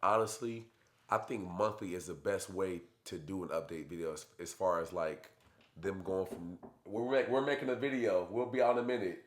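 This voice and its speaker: A young man and father chatting casually in a family vlog, hoarse from being sick, talking in a laid-back, slangy way. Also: deep voice, normal speed. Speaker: vlogger, father. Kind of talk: casual chat.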